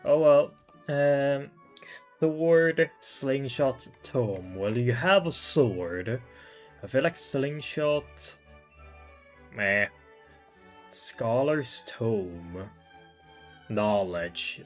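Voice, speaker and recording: A game streamer thinks aloud through a playthrough with slow, informal musing. The voice sits at a middle pitch, casual and muttering, with game music behind it. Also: lively intonation.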